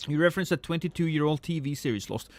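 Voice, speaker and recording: A man in his thirties chatting casually and profanely with viewers on a live stream, rambling and joking at his own expense. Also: fast. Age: 30s. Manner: rambling, self-mocking, profane; swings between loud excited bursts and low mumbles.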